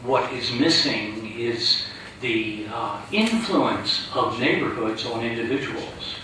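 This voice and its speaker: Bright-voiced man in an echoey hall. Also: medium pitch, lively intonation, takes it slowly, a little hiss or hum. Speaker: audience member. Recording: echoey hall, distant mic.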